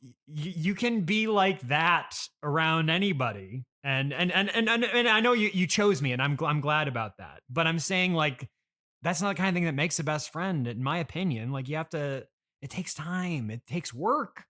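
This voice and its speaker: A man hosting a casual comedy interview, speaking in a halting, hesitant way as he gently reasons with his guest. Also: fairly high pitch, animated. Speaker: podcast host.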